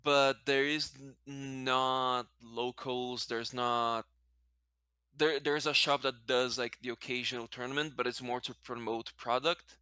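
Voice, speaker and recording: Bright, clear-voiced man from Brazil, native Portuguese speaker. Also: medium pitch, flat delivery, measured pace, no room sound, studio quality. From Brazil, later a Spanish-speaking country. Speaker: interview guest. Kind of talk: casual conversational interview answers.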